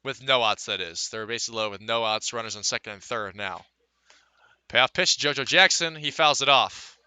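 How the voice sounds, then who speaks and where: A man's voice: mid-range voice, sharp tone, smooth, no room sound. A man calling a baseball game as a live radio play-by-play announcer.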